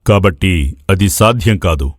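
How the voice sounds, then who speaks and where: A man voicing a patient, fatherly maharaja with measured, formal speech in a dramatized moral story. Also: low-pitched, expressive, no room sound, clean studio sound. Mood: troubled, reasoning.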